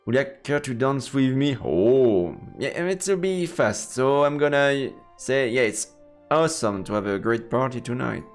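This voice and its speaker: A man's voice: mid-range voice, expressive, measured pace. A man streaming a let's play, playfully commenting and reading game text aloud. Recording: roomy echo with long reverb, game music. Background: game music.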